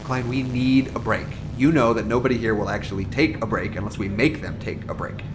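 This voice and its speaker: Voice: fairly even intonation, a little fast, natural voice. An actor of unclear sex playing an earnest, tired colleague in naturalistic audio drama dialogue, hesitant and conciliatory. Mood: weary, urging. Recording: clear rumbling background noise.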